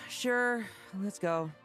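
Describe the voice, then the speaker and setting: A woman's voice: medium pitch, extremely slow, fairly bright tone, faint music behind. A woman voicing a restless, battle-hardened young knight in a video game, wry and teasing. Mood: resigned.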